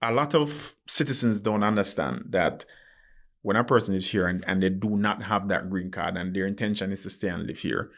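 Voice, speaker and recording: Low-voiced earnest male podcast host. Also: moderate pace, a bit bright. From Jamaica. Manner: reflective, earnest, gives frequent short acknowledgements. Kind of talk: interview conversation.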